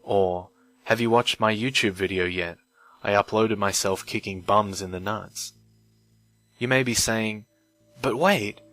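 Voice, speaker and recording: Guy w a low voice reading creepypasta slow and careful, old mic, bit of music under it. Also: a bit bright. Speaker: narrator of horror stories. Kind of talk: scripted creepypasta narration. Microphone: old microphone.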